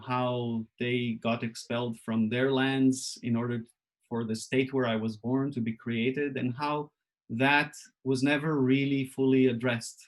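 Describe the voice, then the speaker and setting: A man from Israel speaks in a reflective, personal way at a workshop, with a voice of middling pitch. He talks thoughtfully, searching for words, with frequent pauses and restarts. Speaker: workshop presenter.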